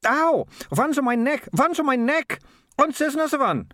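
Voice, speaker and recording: A man voicing an excitable, squeamish, bookish young girl in an audiobook, exclaiming and fretful. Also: extremely high pitch, a little slow, no echo, studio quality, pitched above the performer's own voice, exaggerated voice. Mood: panicked.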